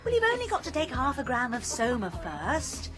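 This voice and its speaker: An actress playing a practical young woman who gives advice in a brisk, bossy manner, in a radio drama. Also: sits high, wildly swooping pitch, music in the background.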